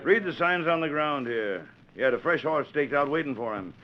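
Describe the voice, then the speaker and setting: An actor playing a hard-driving, gruff frontier sheriff commanding a posse in a 1940s radio western. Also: male voice, a little high, animated, normal speed, crowd noise, natural voice. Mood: grim. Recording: dull noisy 1940s radio recording.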